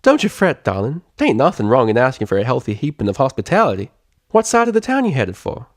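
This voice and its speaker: Kindly farm mare, acted by a man, Southern US drawl, raised pitch, folksy and fussing; storybook fan fiction, very dry sound. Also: wildly swooping pitch, quick pace, no echo. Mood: sympathetic. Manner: folksy, warm-hearted, fussing over others. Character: friendly, motherly country farm mare, folksy and helpful. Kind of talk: character voice in a fan fiction reading.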